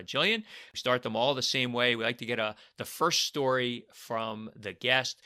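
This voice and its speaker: A man's voice: mid-range voice, bright, forceful tone. A man hosting a podcast, reading an upbeat intro and then chatting with a guest, sometimes hesitating with fillers. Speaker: podcast host. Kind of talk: scripted intro then casual interview.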